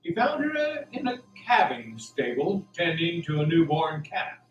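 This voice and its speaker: Animated male radio drama narrator. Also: fairly high pitch, measured pace, highs cut off, faint music behind. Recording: roomy, distant, faint noise.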